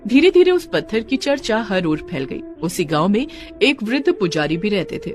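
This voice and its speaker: A woman narrating a cartoon story in an expressive storytelling manner. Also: medium pitch, normal speed. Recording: background music under voice.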